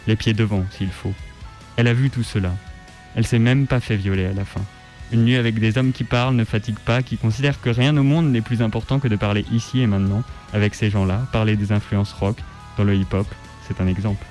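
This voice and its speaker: A man reading a written text aloud on the radio in a measured, articulate, declamatory way. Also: fairly low pitch, steady tone, a little mellow, soft background music. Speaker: radio reader. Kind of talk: scripted literary reading aloud.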